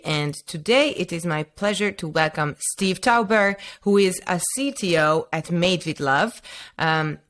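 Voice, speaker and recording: A woman hosting a podcast interview, introducing her guest and asking questions in a polite, measured way with some fillers. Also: fairly low pitch, fairly bright tone. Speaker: podcast host.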